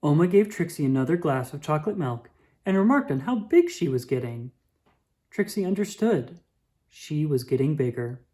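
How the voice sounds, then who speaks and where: A woman reading a children's picture book aloud with expressive, drawn-out emphasis. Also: low-pitched. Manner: animated, dramatic emphasis with drawn-out words.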